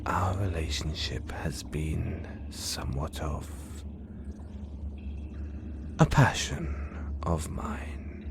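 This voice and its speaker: A man voicing an obsessive, menacing male supervillain in an audio roleplay, speaking in a slow, hushed, gloating whisper. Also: low voice, full character voice. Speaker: voice actor.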